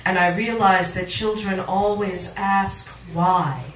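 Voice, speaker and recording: A woman reciting poetry at a live reading, with dramatic, deliberate phrasing. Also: medium pitch, steady tone, a bit bright. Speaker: poet, featured reader. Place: literary cafe, indoors, live reading. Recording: echoey room recording from far off, audience noise. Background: cafe audience sounds.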